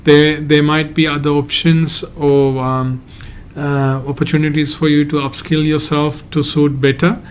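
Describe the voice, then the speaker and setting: A man from Sri Lanka, a migration agent hosting a live Q&A and answering viewer questions in a halting, hedging way. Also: a little high, flat delivery, slight background noise. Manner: halting, hedges often, reads out viewer questions.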